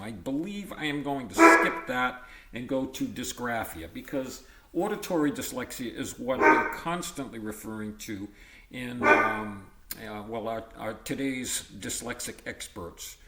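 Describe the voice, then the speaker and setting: A man reading an educational text aloud in a halting, list-like way, breaking off for informal asides. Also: medium pitch, very animated, roomy sound, heavy background noise. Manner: halting list-like delivery with ad-libbed comments. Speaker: reader of an educational book.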